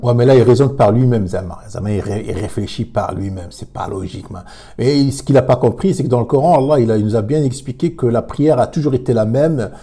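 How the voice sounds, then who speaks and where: Husky guy arguing religion, keeps restarting words, warm low tone. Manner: emphatic, hesitant with many repeats and fillers. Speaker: commentator reacting to a clip. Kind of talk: religious commentary video.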